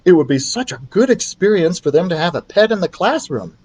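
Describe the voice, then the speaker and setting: A man reads as a kindly young woman who teaches kindergarten in a storybook. The voice is lightly raised in pitch, practical and careful. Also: very animated, a little fast, a little mellow, slightly rough, exaggerated voice. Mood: eager.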